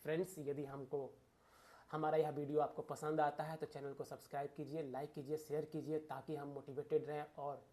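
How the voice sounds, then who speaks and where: A man's voice: a little high, little pitch movement, fairly quick, warm tone. A man teaching exam students in an informal lecture, emphatic and repeating key phrases as he addresses listeners directly. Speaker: exam coaching teacher and video host. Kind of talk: informal lecture addressed to students, Hindi mixed with English terms.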